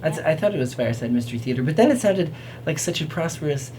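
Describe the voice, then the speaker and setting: A woman's voice: sits low, expressive, fast talker, noisy background. A woman hosting a talk show in casual, playful chat, rambling along in a whimsical way.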